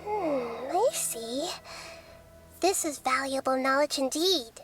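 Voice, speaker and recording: A wise little goddess speaks in a high, soft, thoughtful voice. The tone stays gentle and measured as a game score plays loudly behind her. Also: animated. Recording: game audio with loud music behind.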